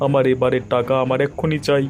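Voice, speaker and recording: A man's voice: mid-range voice, level tone, fast talker, a little mellow, soft background music, natural voice. A man playing a stern, money-grubbing village doctor in a cartoon, blunt and insistent. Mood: demanding. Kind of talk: Bengali cartoon voice acting.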